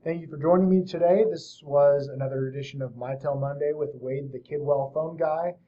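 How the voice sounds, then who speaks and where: A man hosting a software tutorial, explaining things step by step in an informal, casual way. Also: a little high, moderate pace, dull audio. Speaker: host of a software tutorial series. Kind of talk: casual screen-share tutorial narration.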